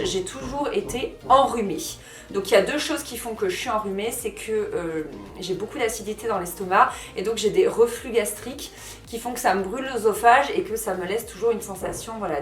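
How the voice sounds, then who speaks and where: A woman's voice: fairly high pitch, echoey room. A woman in her thirties, a vlogger, talking casually to camera in a house under renovation, with lots of fillers and restarts. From France. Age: 30s. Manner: chatty, frequent fillers and self-corrections. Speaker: lifestyle vlogger.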